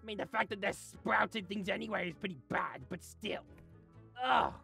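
Gravelly, haughty cartoon genius creature. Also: mid-range voice, normal speed, bright, forceful tone, soft background music. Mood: disgusted, grudging. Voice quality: raspy, gravelly. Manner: theatrical, haughty, melodramatic rants. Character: arrogant, exasperated, cackling self-styled genius creature. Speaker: voiced character in a gaming series. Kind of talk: voice-acted gameplay comedy.

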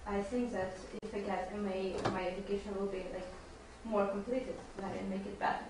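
A woman's voice: mid-range voice, flat delivery, a little fast. Young Belarusian woman in echoey classroom. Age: young adult. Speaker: university student. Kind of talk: earnest unscripted answer to a panel. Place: small seminar room. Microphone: distant camera microphone. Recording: echoey classroom, far mic, noisy.

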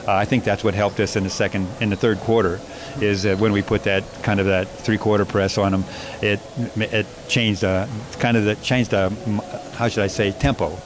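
Coach guy talking after a game, low monotone voice, lots of uhs and restarts, noisy spot behind him. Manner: measured, hesitant with many restarts and fillers. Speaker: basketball coach. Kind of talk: postgame interview. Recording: noisy background.

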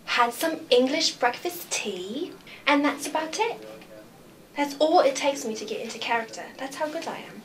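A woman playing a self-satisfied, petulant film actress, haughty and deadpan in a film interview. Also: a little high, low-quality recording.

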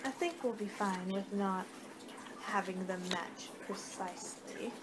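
A young woman speaks slowly in an improvised ASMR roleplay, at a medium pitch. She sounds distracted, often muttering to herself. The amateur recording from a small room at home has clear background noise. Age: young adult. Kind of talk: ASMR roleplay, improvised reading of fortune cards. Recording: noisy amateur webcam-style room recording.

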